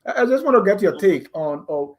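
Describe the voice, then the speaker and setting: Male interviewer; casual, halting, repeats words before getting to the question. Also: sits high, expressive. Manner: hesitant, stumbling restarts.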